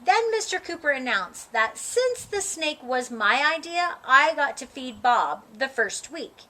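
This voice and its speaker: A woman storyteller with a high, bright voice reads a children's book aloud. She takes her time and gives phrases expressive, dramatic emphasis, narrating as the story's young character. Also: unhurried.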